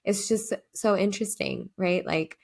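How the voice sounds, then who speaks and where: A woman's voice: mid-range voice, measured pace, vocal fry, darkish tone. A young woman whose first language is Urdu, co-hosting a podcast in a chatty, reflective way with lots of fillers. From United States. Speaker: podcast co-host. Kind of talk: casual chat.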